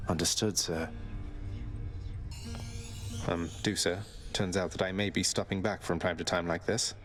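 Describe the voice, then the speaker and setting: An actor playing a wry, rebellious young underworld prince in a video game, speaking in a dry, politely sardonic way. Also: male voice, deep voice, fairly even intonation, measured pace, fairly bright tone, faint music behind. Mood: wry, understated.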